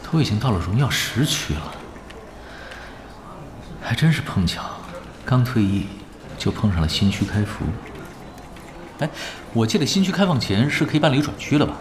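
An actor in a Chinese audio drama playing an easygoing, wry young retired pro gamer with a laid-back, unhurried way of talking. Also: male voice, mid-range voice, sing-song, noisy background, close to an everyday voice. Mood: musing. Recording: music behind the voice.

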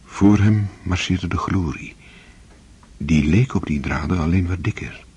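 A solemn storyteller narrating a radio play. His voice is deep and very husky, and he reads slowly with measured, literary phrasing. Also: darkish tone, exaggerated voice. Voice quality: deep, breathy. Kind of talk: scripted radio drama narration.

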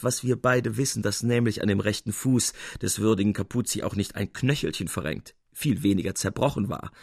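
A man's voice: medium pitch, vocal fry, a little mellow, breathy edge, dry recording, studio quality. A man voicing a confident, amused, scheming painter in a radio story, speaking eloquently.

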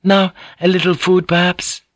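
A man's voice: high-pitched, little pitch movement, normal speed, fairly bright tone, dry recording, clean studio sound, voice pushed up. A man voicing a young Japanese courtesan, a playful and gracious hostess with polite, coaxing questions. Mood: gracious, solicitous.

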